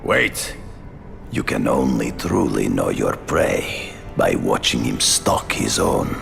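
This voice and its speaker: An actor playing a stern, commanding male hunter in a video game, speaking slowly and gravely, lecturing. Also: big pitch swings, heavily acted. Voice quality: very deep, gravelly. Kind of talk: video game cutscene acting. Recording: game audio with music. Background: music.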